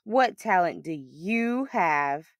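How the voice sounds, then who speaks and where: A woman's voice: fairly low pitch, animated, slow, dry recording, studio quality. A woman as a sneering, drawling schoolboy bully. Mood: mocking.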